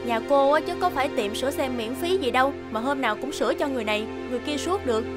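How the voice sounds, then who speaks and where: Woman acting a high-voiced fussing cartoon mother. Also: quick pace, close to an everyday voice. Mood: stern, dismissive. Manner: expressive, scolding or fussing. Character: sharp-tongued but caring young mother minding a repair shop. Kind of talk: animated cartoon dubbing. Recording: music behind the voice.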